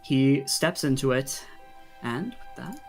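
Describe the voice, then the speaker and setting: A man serves as game master, narrating and chatting informally at a slow pace. His middle-pitched voice has a gravelly creak, and soft music plays behind him. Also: big pitch swings. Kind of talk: tabletop game narration and casual chat. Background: faint background music.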